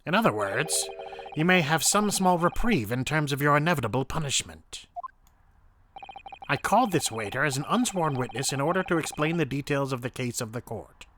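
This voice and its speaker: A man's voice: fairly high pitch, sing-song, normal speed. A man voicing a condescending, menacing older prosecutor in a courtroom game dub, formal and theatrical, with noise in the recording. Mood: stern warning. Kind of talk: fan-dubbed courtroom game acting.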